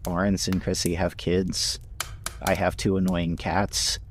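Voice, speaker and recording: A man's voice: sits low, monotone, measured pace, a little hiss or hum. A man who voice-acts for a podcast, chatting casually in an earnest, appreciative manner. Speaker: podcast voice actor. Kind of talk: casual chat.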